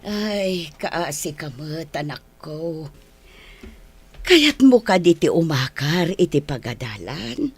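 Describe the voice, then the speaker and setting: A woman playing a gentle, pitying mother in a radio drama, soothing her child in a husky voice. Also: low-pitched, very animated, takes it slowly, soft background music, full character voice. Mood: consoling.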